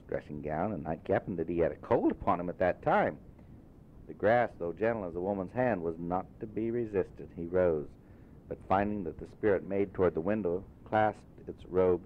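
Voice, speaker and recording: A man narrating a literary story as an audiobook reader, in deliberate phrases. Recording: muffled phone-like audio, rumbling noise.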